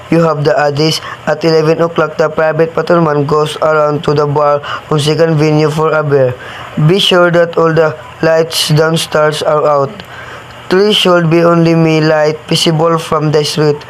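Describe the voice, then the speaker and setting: A man's voice: on the high side, flat delivery, normal speed, slight background noise, close to an everyday voice, rough recording. A young man in an amateur radio play, playing a cold, commanding criminal boss who gives businesslike orders. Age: young adult. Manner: businesslike, matter-of-fact orders.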